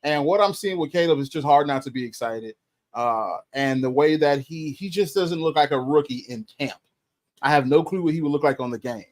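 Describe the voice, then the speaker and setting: A man with a slightly raised voice talks sports in a casual way. He weighs his words and fills pauses with ums, circling back to make his point. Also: on the high side. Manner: thoughtful, hedging, with frequent ums. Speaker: podcast co-host.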